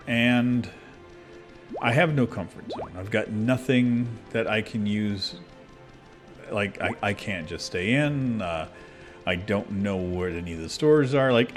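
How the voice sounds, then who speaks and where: A man talking casually and candidly while playing a video game, rambling with many fillers and restarts. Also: fairly low pitch. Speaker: gaming video creator. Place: abroad, recording at a computer.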